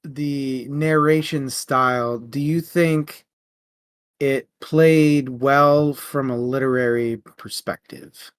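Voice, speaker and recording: A man in a casual book discussion, informal and halting as he searches for his words. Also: mid-range voice, fairly even intonation, smooth, no echo, studio quality.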